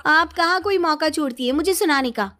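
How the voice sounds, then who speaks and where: A woman's voice: high voice, a little fast, sharp tone, pitched above the performer's own voice. A woman voicing a whiny, defiant, lazy young woman in a dramatized moral story. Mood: cheeky. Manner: whining, defiant.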